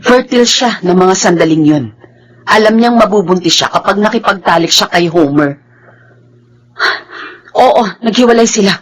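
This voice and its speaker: A woman's voice: medium pitch, animated, moderate pace, long pauses, soft background music, natural voice. A woman acting in a Filipino radio drama as an embittered, anguished older sister, emotional and heavy-hearted. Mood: bitter, subdued.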